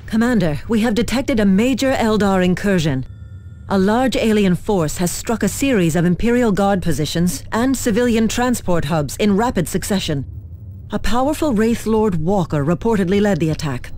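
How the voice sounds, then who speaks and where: An actress playing a formal, coolly official human military liaison officer who gives measured briefings in a video game. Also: female voice, medium pitch, expressive, darkish tone, a little hiss or hum, lightly acted. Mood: formal, urgent. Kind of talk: scripted game mission briefing.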